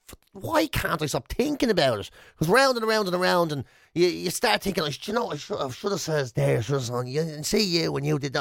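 Irish man, radio co-host, animated intonation, playful teasing banter, close dry studio sound. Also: on the high side, vocal fry, no echo. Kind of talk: casual comedic radio chat, teasing banter. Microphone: close studio radio mic.

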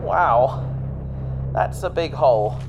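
Excitable, boastful space explorer. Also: male voice, medium pitch, slow pace, highs cut off. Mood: defensive bluster. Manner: flustered, hesitant bluster. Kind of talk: sci-fi audio drama acting. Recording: reverberant cave effect with faint noise.

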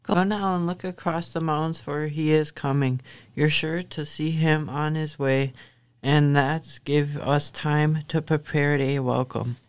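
A woman acting a shrewd, calm giant's wife in a radio drama, coaxing and unflappable. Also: low voice, unhurried, clear, resonant tone. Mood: calmly commanding.

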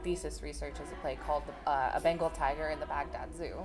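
A young woman in her twenties, a thesis researcher interviewing a theatre maker in a chatty way, full of restarts and quick laughs. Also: deep voice, fairly even intonation. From Los Angeles, United States. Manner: chatty, many fillers and restarts, laughs easily. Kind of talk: casual conversational interview. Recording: music playing underneath.

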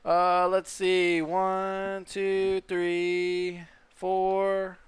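A man's voice: high voice, completely flat delivery, drawn-out words, clear, resonant tone, no room sound. A man telling rambling, self-mocking stories on a casual podcast. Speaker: podcast co-host. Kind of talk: casual storytelling chat.

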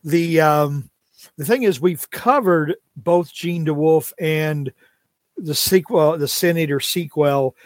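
High-voiced opinionated man, chatting. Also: no echo. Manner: opinionated, ranting. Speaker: podcast co-host reviewing comics. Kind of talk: casual chat.

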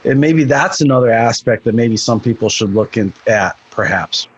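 Husky man from Ireland, thoughtful. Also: medium pitch, moderate pace, dull audio. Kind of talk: casual chat.

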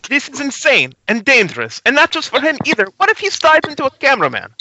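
Man as high, indignant stunt coordinator. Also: huge pitch swings, fast talker, sharp tone, slight background noise, full character voice. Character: indignant, alarmed, fussy male stunt coordinator. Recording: dull lo-fi internet call.

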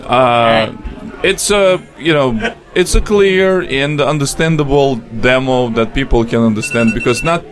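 A man's voice: mid-range voice, lively intonation, faint music behind. Russian-accented man hosting a tech panel. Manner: halting, repeats words, chuckles. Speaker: panel host. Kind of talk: casual panel chat.

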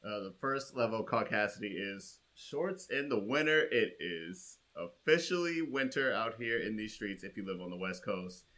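Male comedy podcast co-host, bright and punchy, casual banter, emphatic and playfully exasperated. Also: on the low side, animated, normal speed, hardly any pauses.

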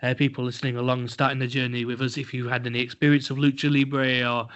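A man's voice: mid-range voice, level tone, fast talker, a bit bright. Podcast guy rambling along, lots of ums and restarts, kinda blunt, dull lo-fi sound. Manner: rambling, many fillers and restarts; blunt and a little crude. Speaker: podcast co-host. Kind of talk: casual podcast chat.

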